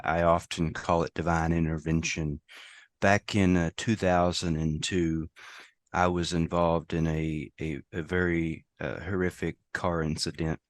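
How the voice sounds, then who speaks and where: Guest spot: American man, very low voice, hoarse, dry close sound. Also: dry recording. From United States. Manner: halting, many restarts and fillers. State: hoarse from a lost voice. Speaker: guest, safety professional. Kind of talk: casual interview conversation.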